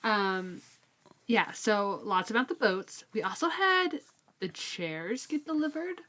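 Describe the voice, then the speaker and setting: A woman co-hosting a casual book podcast, chatty and quick to laugh, still getting over a cold. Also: mid-range voice. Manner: laughs often, chatty and animated. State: recovering from a cold.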